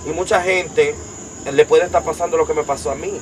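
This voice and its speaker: A man's voice: fairly high pitch, steady tone, background noise. Young Dominican man giving emphatic testimony. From Dominican Republic. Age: young adult. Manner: emphatic, rapid-fire storytelling in long lists. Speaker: interview guest. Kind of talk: personal testimony in conversation.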